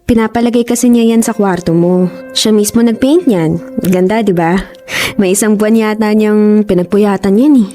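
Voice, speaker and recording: A woman acting in a Filipino radio drama as a sheepish young friend who coaxes gently. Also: medium pitch, steady tone, normal speed, natural voice. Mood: coaxing.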